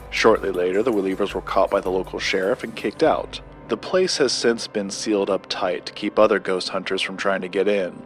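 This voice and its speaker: Guarded male occult researcher, played by an actor, lowish voice, formal and precise, audio drama with music underneath. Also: lightly acted. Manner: formal, precise, measured wording. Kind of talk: scripted audio drama dialogue.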